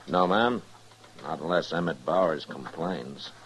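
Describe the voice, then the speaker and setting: An American actor playing a steady, decent frontier marshal in an old-time radio western, speaking in a plain, understated, folksy way. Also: male voice, a bass voice, unhurried. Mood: calm, considerate. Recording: noisy vintage radio recording.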